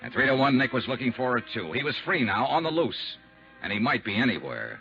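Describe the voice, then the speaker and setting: An actor playing a tough, sardonic investigator who wisecracks and narrates in clipped hard-boiled style in a 1950s radio drama. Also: male voice, medium pitch, very animated, a little fast, pushed and bright, faint music behind. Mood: tense narration. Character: tough, sardonic, unbribable male insurance investigator. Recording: dull, noisy 1950s radio recording.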